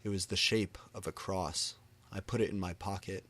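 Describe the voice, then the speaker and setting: A man's voice: sits low, steady tone, normal speed, fairly bright tone. A man reading fan fiction aloud in a dramatic, comedic style, pronouncing misspellings and stray symbols literally. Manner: reads misspellings and punctuation literally.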